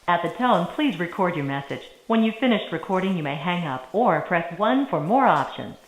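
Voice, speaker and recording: A woman's automated voicemail greeting, read in a flat, prompt-like manner. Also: fairly low pitch, moderate pace. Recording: echoey, noisy playback.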